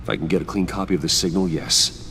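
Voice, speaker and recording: A grave vigilante detective. He is measured, terse and controlled, as in a dramatic video game, with clear noise behind him. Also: low voice, a bit bright. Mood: somber, protective. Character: grave, determined adult male vigilante detective, low and controlled. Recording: clearly noisy game audio.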